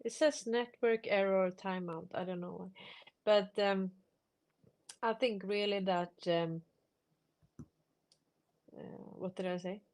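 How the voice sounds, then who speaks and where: A woman with a Swedish accent, a native Swedish speaker, hosting a casual unscripted discussion and explaining things with halting restarts. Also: mid-range voice, steady tone, a little mellow. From Sweden. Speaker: live stream host.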